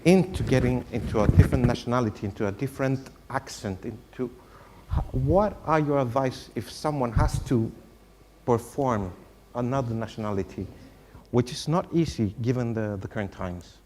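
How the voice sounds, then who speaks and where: Male moderator and interpreter, warm low-bright voice, slight background noise. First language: Spanish. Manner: halting questions, then fluent translating. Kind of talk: hosting a bilingual Q&A. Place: university auditorium.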